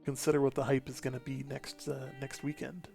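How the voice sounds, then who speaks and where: A man chatting casually and thinking aloud on a gaming show, rambling in a halting way. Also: mid-range voice, level tone, creaky, a little mellow, soft background music. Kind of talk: casual chat.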